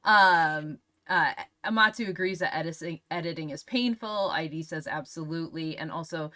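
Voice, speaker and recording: A woman's voice: low-pitched, normal speed, sharp tone. A woman hosting a casual livestream interview, reading out comments and questions in an informal way.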